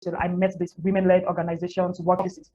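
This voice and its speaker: A woman's voice: fairly low pitch, monotone, a little fast, dark tone. A Cameroonian woman in her thirties telling her personal story as an interview guest, candid and repeating phrases for emphasis. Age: 30s. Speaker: interview guest, NGO founder and women's activist. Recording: muffled lo-fi audio with audible background noise.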